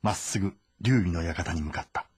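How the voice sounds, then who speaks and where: A man's voice: sits low, a little fast, a little mellow, breathy edge, dry recording, studio quality. A man reading scripted, dramatic novel narration as the narrator.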